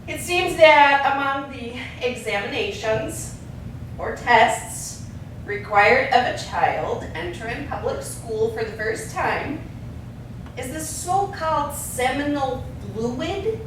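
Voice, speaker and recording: Sardonic theatrical ranter: slow, drawn-out declamation with sudden swings, picked up from a distance on stage. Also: female voice, fairly bright tone. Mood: sardonic, gossipy. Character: sardonic, ranting orator railing against American war and manufacture. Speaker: stage actor. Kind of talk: theatrical monologue from an avant-garde radio play. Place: echoing performance space, recorded from a distance. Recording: very echoey stage, distant mic, rumbling noise.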